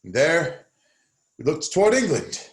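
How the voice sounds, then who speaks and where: Casting: man to play anguished Shakespearean nobleman, slow, highly varied pitch, roomy livestream sound. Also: huge pitch swings. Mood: uneasy, recounting. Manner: dramatic, anguished. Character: Clarence, troubled nobleman haunted by fearful dreams. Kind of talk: classical Shakespeare stage acting.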